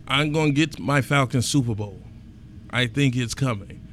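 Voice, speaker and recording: A man hosts a casual sports podcast, talking in an animated, emphatic and colloquial way. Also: mid-range voice, moderate pace, a little hiss or hum.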